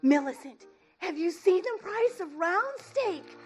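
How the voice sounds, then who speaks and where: An exclamatory housewife in a vintage radio commercial. She speaks extremely high and slowly, gossipy in manner, with music behind her. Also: expressive. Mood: exclamatory, curious. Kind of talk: old-time radio ad acting.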